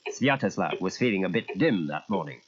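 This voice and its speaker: Guy narrating a kids story tape, raspy and super expressive, slightly dull old cassette sound. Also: deep voice, brisk. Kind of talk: animated children's storybook narration. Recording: muffled old audio cassette.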